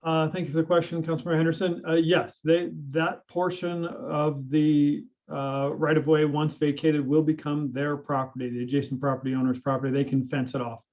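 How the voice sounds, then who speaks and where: A man, a public works director, presenting a report at a city council meeting in a measured way, pausing often with "uh" and "um". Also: fairly high pitch, little pitch movement, narrow, tinny audio. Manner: measured, hesitant with frequent fillers.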